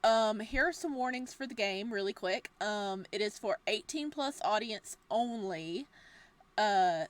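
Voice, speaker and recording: A young woman hosting a horror game playthrough, chatty and excitable, reading the game text aloud. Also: mid-range voice, unhurried. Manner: bubbly and excitable, laughs often between lines. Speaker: gaming channel host.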